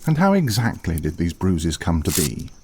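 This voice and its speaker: An actor playing a dutiful older butler in an audio drama, formal, measured and dryly disapproving, with a husky voice. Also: male voice, low-pitched, huge pitch swings, slight background noise. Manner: formal, measured, politely dry.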